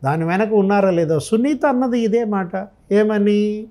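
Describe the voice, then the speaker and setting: A man's voice: high-pitched, measured pace, warm tone. A man giving impassioned political commentary, emphatic and full of rhetorical questions. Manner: emphatic, rhetorical questioning, re-enacting others' remarks.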